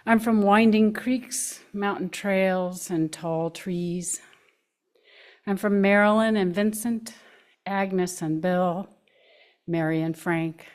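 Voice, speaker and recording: A woman reading her own personal poem aloud at a church pulpit in a calm, even way. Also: mid-range voice, slow. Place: church sanctuary.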